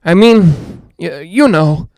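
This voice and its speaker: Actor as high-voiced, jittery radio broadcaster. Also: male voice, lively intonation, darkish tone, no room sound, studio quality. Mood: nervous, uneasy. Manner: nervous, rambling, halting, self-reassuring. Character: jittery, lonely man broadcasting alone from haunted house. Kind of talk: 1940s-style radio drama monologue.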